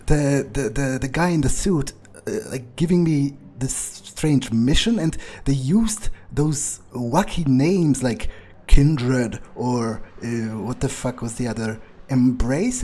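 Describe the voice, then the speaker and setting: A man improvising in-character commentary on a video game, thinking aloud in a halting, sweary way with a husky, mellow voice. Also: mid-range voice. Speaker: gaming video player.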